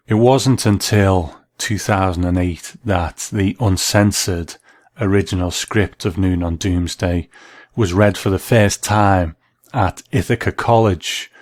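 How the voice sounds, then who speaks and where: A man's voice: low voice, dry recording. A man hosting a podcast, delivering scripted documentary-style narration. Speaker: podcast host.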